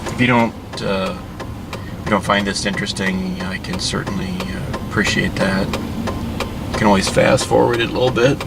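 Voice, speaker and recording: A man with a fairly low voice rambles casually, like someone thinking aloud behind the wheel. His delivery is folksy, halting and unhurried. The mic sits some way from him, and loud vehicle rumble runs underneath everything. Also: fairly even intonation, vocal fry. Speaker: hobbyist video host. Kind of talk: casual rambling vlog chat while driving. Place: inside a moving car. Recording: distant mic, loud car and road noise.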